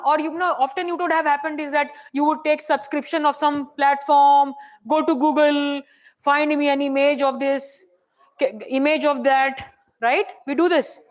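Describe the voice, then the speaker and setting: A soft-spoken woman with an Indian English accent, teaching a hands-on AI workshop and checking in with the room often. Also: high voice. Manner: chatty, checks in often with "right?" and "okay?". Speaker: AI workshop presenter.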